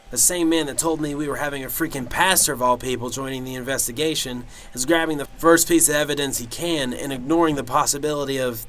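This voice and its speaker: A man's voice: mid-range voice, fairly bright tone, a little hiss or hum, lightly acted. A man playing a cynical, sarcastic detective in an amateur radio drama, with a blunt, grumbling delivery. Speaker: actor.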